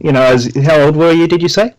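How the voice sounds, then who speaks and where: A man's voice: fairly high pitch, fairly even intonation, fast talker, low-quality recording. A middle-aged man hosting a podcast interview, speaking earnestly and often restarting his sentences. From Australia. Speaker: podcast host, personal trainer.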